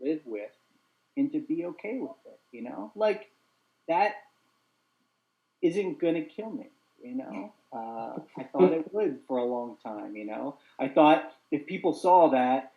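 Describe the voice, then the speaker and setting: A man's voice: a little high, lively intonation, unhurried, creaky, dull audio. A young man hosting a casual group chat who stutters openly with repeated sounds and talks in an earnest, open way. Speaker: host.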